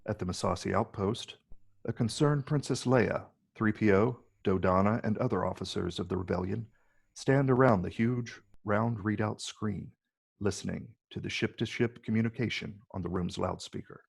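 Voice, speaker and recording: Gravelly, deep-voiced male script narrator. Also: normal speed. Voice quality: gravelly, husky. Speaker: narrator reading stage directions.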